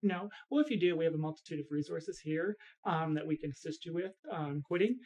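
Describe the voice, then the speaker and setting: A woman's voice: low voice, animated, brisk, mellow. A woman conducting a health intake interview, asking structured questions and echoing back each answer.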